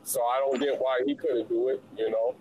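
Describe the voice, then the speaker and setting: A man calling in to a casual chat, measured, asking before he speaks and leaning on "you know". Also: medium pitch, steady tone, a little mellow, highs cut off, slight background noise. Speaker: caller. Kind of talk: casual debate on a call.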